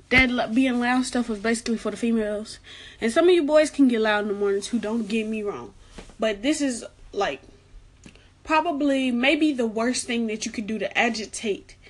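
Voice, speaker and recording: A soft-spoken young woman with a light, girlish voice that sits a little high. She gives casual vlog advice in a chatty way and repeats her warnings for emphasis. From Arkansas, United States. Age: late teens. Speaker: vlogger giving school advice.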